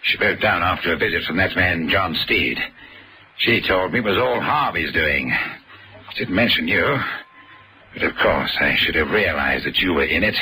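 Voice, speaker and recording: An actor playing an upright, bitter older banker, speaking formally in a vintage TV drama. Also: male voice, sits low, moderate pace, pushed and bright, slightly rough, full character voice, rough recording. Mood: bitter defiance. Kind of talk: 1960s British TV drama acting.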